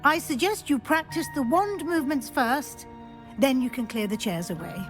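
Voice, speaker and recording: A brisk, kindly professor. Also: female voice, high-pitched, expressive. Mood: instructive. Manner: crisp teacherly instruction. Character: brisk middle-aged woman professor, kindly. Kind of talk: video game voice acting. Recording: game soundtrack with clear music.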